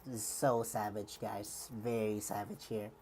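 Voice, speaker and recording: Very low-voiced woman streamer, rambling. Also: fairly even intonation, unhurried, noisy background. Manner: rambling and hesitant, heavy on fillers and restarts. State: slightly worn voice. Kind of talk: live-stream reaction commentary.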